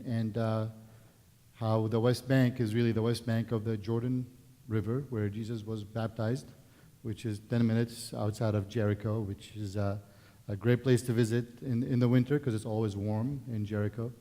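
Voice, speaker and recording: Palestinian-accented man, mellow low voice. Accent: Palestinian Arabic. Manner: relaxed, conversational, many fillers and restarts. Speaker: guest speaker. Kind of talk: personal testimony at a worship service. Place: church sanctuary. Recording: long church reverb.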